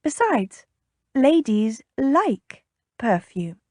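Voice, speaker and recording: A woman's voice: sits high, big pitch swings, drawn-out words, darkish tone, dry recording, pitched above the performer's own voice, heavily acted. A woman voicing a chatty, impulsive young noblewoman in an audiobook, with a bubbly, coaxing, inquisitive manner. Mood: bright, enticing. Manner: bubbly, coaxing, earnest, prying.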